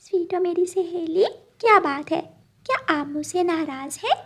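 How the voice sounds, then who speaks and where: A woman's voice: very high-pitched, normal speed. A woman voicing a loyal, caring little female bird, polite and earnest, in a cartoon. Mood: concerned. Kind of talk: cartoon story dialogue.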